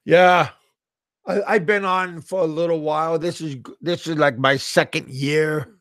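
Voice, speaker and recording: Male livestream host from Alaska, unhurried and halting, lots of restarts, dry close sound. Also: a little high, steady tone, fairly bright tone, clean tone, dry recording. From Alaska, USA. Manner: halting, repeats words and restarts often. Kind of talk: casual live-chat talk reading viewer comments.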